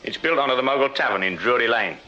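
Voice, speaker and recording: An actor playing a shrewd Victorian detective sergeant with an English accent, dry, clipped and authoritative in a radio drama. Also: male voice, mid-range voice, expressive, quick pace, sharp tone. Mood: sardonic. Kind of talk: British period radio drama acting. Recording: slightly dull, hissy radio-drama recording.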